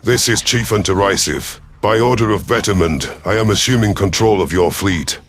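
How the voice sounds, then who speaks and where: Man voicing a deep, imperious alien predator commander. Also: moderate pace, close to an everyday voice. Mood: commanding. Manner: formal, imperious. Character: male alien predator chief hunter, commanding, calculating. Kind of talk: first-person sci-fi audio story narration.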